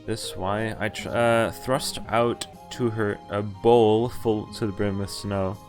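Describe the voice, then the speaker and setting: A man's voice: lowish voice, fairly even intonation, slow pace. A man streaming a game, reading its story text aloud casually, stumbling over the odd word and breaking off to comment. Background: game music and sound effects.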